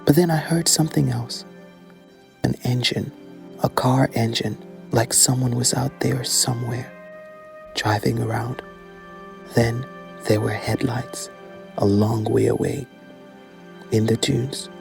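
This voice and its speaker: A man's voice: medium pitch, fairly even intonation, slow, creaky, long pauses, darkish tone, soft background music. An actor playing an anxious young man who recounts a night lost outdoors in an informal, hesitant way, for a horror audio drama. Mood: uneasy recounting. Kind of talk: first-person horror audio drama monologue.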